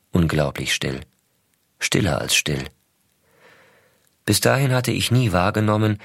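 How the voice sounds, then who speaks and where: A man playing an astronaut father reading his letters to his young son, measured and intimate, explaining things simply as to a child. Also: low voice, breathy edge, no room sound, clean studio sound, heavily acted. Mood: calm, hushed. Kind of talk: scripted narration, letters read aloud.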